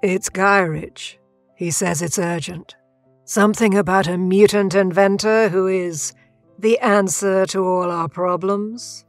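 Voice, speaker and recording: A woman acting a businesslike villainous agent in an audio drama, terse and deliberate. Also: mid-range voice, fairly even intonation, no echo, faint music behind, natural voice. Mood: brisk, resigned.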